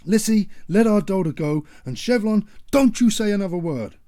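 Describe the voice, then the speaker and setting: Guy doing a huge hungover dad yelling and cursing, pitched way up, mellow dry voice. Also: big pitch swings, unhurried, no echo. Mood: angry shouting. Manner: shouting, swearing. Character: hulking hungover working-class father, short-tempered.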